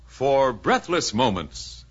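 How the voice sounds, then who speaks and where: A man's voice: mid-range voice, very animated, takes it slowly, breathy edge. A man reading a scripted mid-century radio commercial as the announcer. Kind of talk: 1950s radio advertisement read. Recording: muffled old radio recording.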